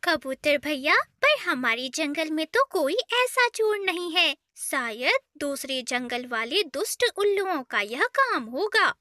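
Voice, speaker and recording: A woman voicing female birds in expressive children's cartoon dialogue. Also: sits high, slow pace, few pauses, no room sound, clean studio sound, full character voice. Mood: soothing, concerned. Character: female birds of a cartoon fable, crow wife and sparrow.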